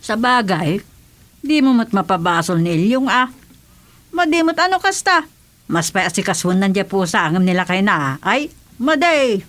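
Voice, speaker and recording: A woman's voice: mid-range voice, very animated, moderate pace, fairly bright tone. A woman playing a nagging, exasperated village wife in an Ilocano comedy radio drama, with an Ilocano accent. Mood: animated chatting.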